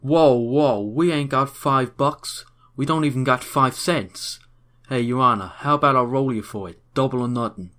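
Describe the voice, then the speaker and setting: A brash young newsboy, played by a man, quick with a wisecrack and full of street slang. His voice sits in a mid range and is recorded plainly. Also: mid-range voice, a bit bright, lightly acted. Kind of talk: fan audio drama.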